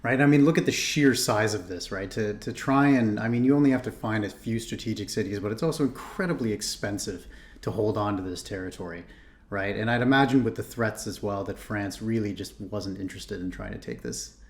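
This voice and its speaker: A man's voice: on the low side, a little fast. A man from Ontario hosting a reaction video, chatting casually and thinking aloud with frequent restarts. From Canada (Ontario). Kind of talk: casual commentary.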